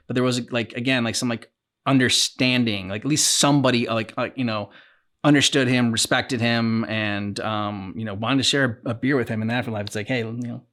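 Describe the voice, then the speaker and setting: A man co-hosting a reaction show, talking casually and reflectively in a halting way, as if thinking aloud. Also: mid-range voice, fairly bright tone.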